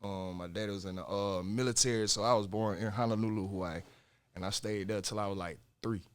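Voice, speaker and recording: A young man, a rapper guest talking in a relaxed, rambling, slangy way. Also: lowish voice, a little fast, clean tone. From Augusta, Georgia, US. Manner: relaxed, rambling, slangy with fillers like "like" and "you feel me". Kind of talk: casual interview chat.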